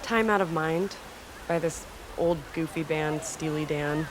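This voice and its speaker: A woman's voice: low-pitched, measured pace. A woman acting an earnest, awkward young woman in naturalistic drama dialogue, hesitant and repeating short phrases. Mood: warm, casual. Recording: faint background noise and ambience.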